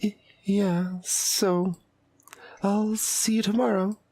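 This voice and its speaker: A woman's voice: mid-range voice, fairly even intonation, takes it slowly, mellow, no room sound. A woman voicing a shy, polite, bashful anime schoolgirl with a hesitant stammer, in a visual novel. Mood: flustered. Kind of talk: visual novel character voice-over.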